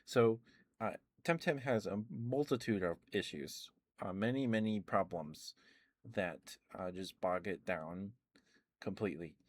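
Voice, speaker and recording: A man rambling casually about video games in a soft-spoken ASMR stream, full of ums and uhs. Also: fairly low pitch, unhurried.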